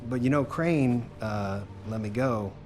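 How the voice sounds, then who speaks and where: A man's voice: mid-range voice, unhurried, music in the background, close to an everyday voice. An actor playing a meek, nervous, eager-to-please male night worker in a video game, hesitant and apologetic. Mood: awkward, friendly.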